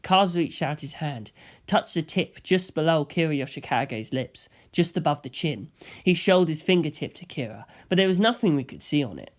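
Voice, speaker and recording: Male audiobook narrator, somewhat high register, first-person fiction read from a script. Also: animated, moderate pace.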